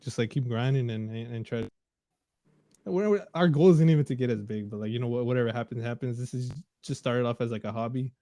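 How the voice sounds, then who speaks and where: A man's voice: mid-range voice, fast, dark tone, no room sound. Laid-back man rambling, dry close sound. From Central Valley, California. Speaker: podcast co-host. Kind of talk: casual podcast chat. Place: home, LA area.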